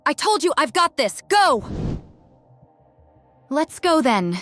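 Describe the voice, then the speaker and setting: A woman's voice: high voice, big pitch swings, slow pace, fairly bright tone, soft background music, exaggerated voice. A woman voicing a stubborn, plucky young animal heroine in a comic dub, defiant and spirited. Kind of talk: comic fan dub voice acting.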